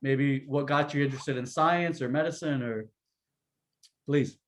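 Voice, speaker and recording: A man's voice: medium pitch, a little slow. A young man moderating a panel, introducing the guests in a chatty, informal way with joking asides.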